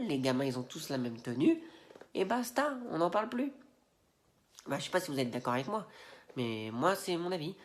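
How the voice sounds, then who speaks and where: Man with a head cold ranting casually. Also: medium pitch. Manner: rambling, slangy, indignant, with frequent fillers. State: has a head cold, stuffy nose. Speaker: Instagram video creator. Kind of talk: casual opinion rant to camera.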